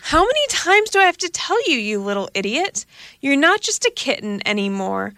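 Fierce mother cat, scolding and menacing, high and sharp, read by a woman. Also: big pitch swings, normal speed, no room sound, exaggerated voice.

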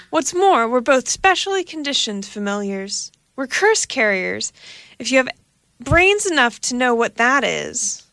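Fierce mother cat, scolding and menacing, high and sharp, read by a woman. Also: no echo, exaggerated voice.

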